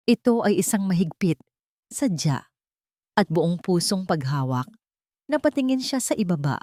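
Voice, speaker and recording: Low-pitched Filipino woman narrating with sweeping intonation. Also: darkish tone, dry recording, clean studio sound. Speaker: storyteller, narrator. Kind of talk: scripted dramatic story narration.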